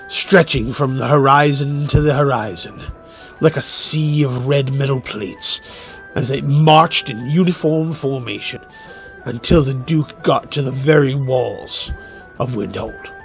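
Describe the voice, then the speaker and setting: A man playing a proud, scornful loyalist telling war tales in a fantasy radio drama, with halting, grandiose phrasing. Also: medium pitch, lightly acted. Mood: grave, proud. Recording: music under the voice.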